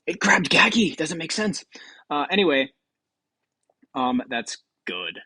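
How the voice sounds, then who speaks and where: A man's voice: fairly high pitch, very animated, slow pace, bright, forceful tone, breathy edge, no room sound, clean studio sound. A man hosting a game show with casual, improvised commentary in a rambling, offhand way.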